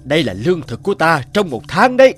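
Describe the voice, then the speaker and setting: A man's voice: fairly high pitch, big pitch swings, quick pace, faint music behind. A man playing a grumpy, greedy, selfish beggar in a cartoon folk tale, muttering to himself with hesitant grunts. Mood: selfish, defensive. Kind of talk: cartoon fairy-tale voice acting.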